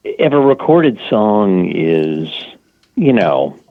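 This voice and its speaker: A male podcast host speaks with an animated, up-and-down voice, stressing his points with conviction. His sound is muffled and lo-fi, dry with no room. He stays agreeable and conversational. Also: lowish voice, takes it slowly, no room sound. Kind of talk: casual conversation.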